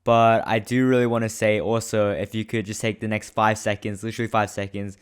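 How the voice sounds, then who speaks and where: Young host, late teens, low smooth voice, upbeat listener plug. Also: male voice, level tone, brisk. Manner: upbeat, pleading, repetitive. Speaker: podcast host. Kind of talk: direct-to-listener promo.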